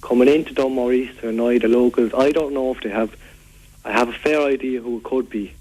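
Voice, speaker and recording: A man's voice: mid-range voice, fairly even intonation, a little fast, a little mellow, husky, natural voice. Guy pretending to be a moaning irish bloke from waterford on a radio phone-in, rambles on, repeats himself, dead earnest. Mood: indignant. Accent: Irish, County Waterford. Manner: rambling, repetitive, earnest complaining. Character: aggrieved, rambling local man fed up with food-throwing youths. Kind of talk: prank call to a local radio show. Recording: phone call on local radio.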